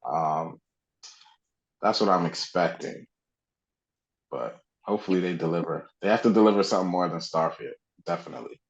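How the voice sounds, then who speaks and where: Low-voiced guy on a gaming podcast, super casual, says like a lot, kinda muffled lo-fi sound. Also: normal speed, long pauses. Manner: informal, conversational, frequent "like". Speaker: podcast co-host. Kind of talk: casual video game discussion.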